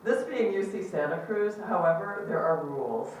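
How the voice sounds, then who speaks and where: A woman's voice: fairly low pitch, expressive, moderate pace, noisy background. A woman chairing a department, giving an upbeat welcome speech at a ceremony with frequent ums. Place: large echoey lecture hall. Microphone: amplified microphone recorded from a distance. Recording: very echoey hall from far off.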